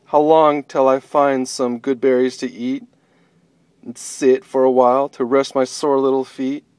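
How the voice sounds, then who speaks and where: A man voicing a timid little blind girl bunny, talking in a soft, pleading, wavering way. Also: mid-range voice, level tone, a little slow, clear, resonant tone, dry recording, close to an everyday voice. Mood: worried.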